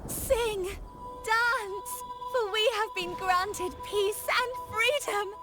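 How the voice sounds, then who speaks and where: An actress voicing an entranced, blissful female worshipper in a video game, inviting others in with drawn-out, exalted phrases. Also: extremely high pitch, loud music behind the voice, exaggerated voice.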